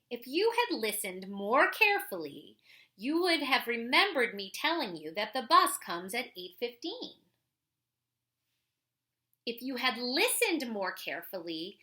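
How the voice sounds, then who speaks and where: A woman's voice: a little high, bright, forceful tone, exaggerated voice. A woman voicing an exasperated mother in a children's picture book, lecturing her young son in a firm, emphatic way. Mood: stern scolding.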